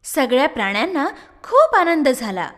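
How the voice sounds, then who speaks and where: A woman narrates a children's story in a high voice with lively swings. A long reverb hangs on her words. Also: normal speed.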